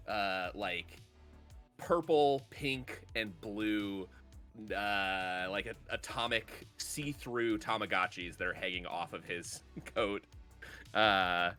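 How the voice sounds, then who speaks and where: Deep-voiced man with a bright, lively voice. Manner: hesitant, rambling, with many fillers. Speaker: player. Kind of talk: describing his character in a game.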